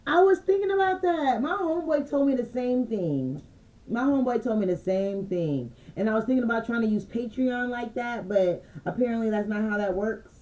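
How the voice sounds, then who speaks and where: A woman's voice: fairly high pitch, moderate pace, rough recording. A woman who does intuitive card readings, talking casually to her viewers and often checking in with them. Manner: relaxed, conversational, repeats phrases and asks "you dig me?". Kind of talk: casual channeled message livestream.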